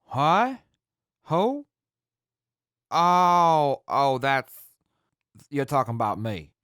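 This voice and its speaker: Man playing a slow, guilt-ridden fallen leader. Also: on the high side, big pitch swings, fairly bright tone, clean tone, dry recording, studio quality, heavily acted. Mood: confused, realizing. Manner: halting, self-blaming. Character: guilt-ridden, defeated former leader in jail. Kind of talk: post-apocalyptic audio drama acting.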